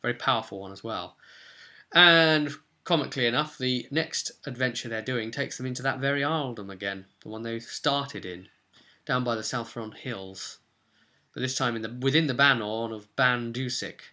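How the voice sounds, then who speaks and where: Bright, forceful British man recapping a game. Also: mid-range voice, moderate pace. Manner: chatty, digressive, with wry joking asides and loosely acted-out quotes. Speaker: game master recapping a tabletop role-playing session. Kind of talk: casual, rambling storytelling recap to camera.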